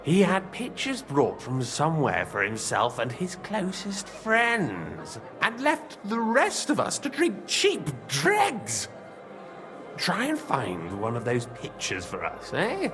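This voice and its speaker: An actor playing a drunken, theatrical medieval lord with a drawling, teasing delivery in a game cutscene. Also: male voice, fairly high pitch, wildly swooping pitch, slow, exaggerated voice. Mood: demanding, scheming.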